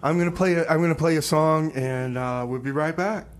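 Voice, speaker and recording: Scatterbrained male DJ played by a man for radio comedy: high voice, muddled, repeats himself, some background noise. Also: a little fast, natural voice. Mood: defensive. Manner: bumbling, repetitive, defensive. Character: scatterbrained, easily confused male radio DJ Rebar. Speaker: radio show host. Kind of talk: bantering comedy radio theater.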